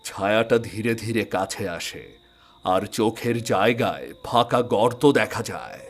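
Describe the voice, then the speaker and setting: A man narrating a scripted ghost story in a measured, dramatic storytelling manner. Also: on the low side, lively intonation. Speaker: narrator and channel host.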